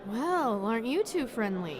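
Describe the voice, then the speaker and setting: A woman's voice: a little high, sing-song, normal speed, crowd noise. An actress playing a feisty, impatient, easily jealous young female soldier in an audio drama.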